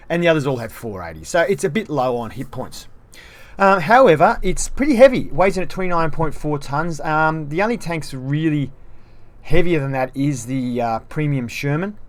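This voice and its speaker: Chatty male game reviewer with a mid-range voice. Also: medium pitch. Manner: chatty rundown of stats, self-deprecating. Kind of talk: casual game review commentary.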